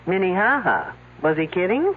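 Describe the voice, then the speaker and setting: An actress playing a brisk woman police detective in a 1970s radio mystery, questioning in a clipped, wry way with a husky voice. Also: fairly low pitch, very animated. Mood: businesslike, wry. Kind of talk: 1970s radio drama acting. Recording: tinny, narrow-band old radio broadcast.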